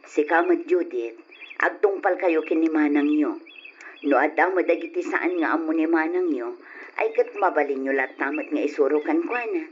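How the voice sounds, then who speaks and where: A woman's voice: sits low, moderate pace, natural voice. An actress playing a caring, fretful older rural wife and mother, fussing and coaxing in an Ilocano radio drama. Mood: gently admonishing. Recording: lo-fi old radio, background hiss.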